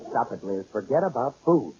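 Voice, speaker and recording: A man's voice: medium pitch, animated, fairly quick, mellow, lightly acted. An actor playing a long-suffering husband in a 1940s radio sitcom, polite to company and exasperated with his wife. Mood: scolding. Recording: dull, noisy old radio recording.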